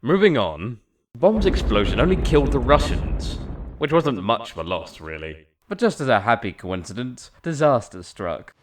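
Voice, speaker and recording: A man's voice: mid-range voice, big pitch swings, moderate pace, slight background noise, close to an everyday voice. A man voicing a sarcastic, exasperated young man in a parody cartoon. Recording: music behind.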